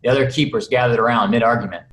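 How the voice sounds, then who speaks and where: A man's voice: medium pitch, rapid-fire, fairly bright tone. A man reading screenplay stage directions aloud as narrator at a table read over a video call. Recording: roomy video call, faint noise.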